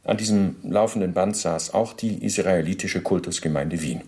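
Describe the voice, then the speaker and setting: A former SS officer giving testimony in a radio drama. The voice is low, and the wording is stiff officialese. He justifies himself in pedantic detail and stays formally deferential to his interrogator. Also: moderate pace, dark tone. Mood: officious, explanatory. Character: male former SS officer under interrogation, self-justifying bureaucrat. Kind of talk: radio play, testimony monologue.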